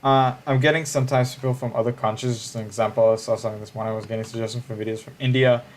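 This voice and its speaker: A man's voice: medium pitch, fairly even intonation, racing. A man, chatting casually on a livestream in a musing, hesitant way with frequent restarts. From Israel.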